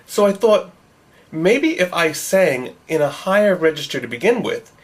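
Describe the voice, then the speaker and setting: A man's voice: high-pitched, sing-song, moderate pace. Nasal male narrator, wry and self-deprecating, for a home-made tutorial voice-over. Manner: wry, joking. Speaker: video creator.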